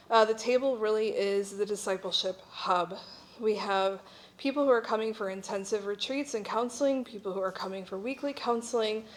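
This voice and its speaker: A middle-aged woman speaking as a missionary at a church breakout session, explaining things in an informal, conversational way. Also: mid-range voice. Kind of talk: informal conference presentation.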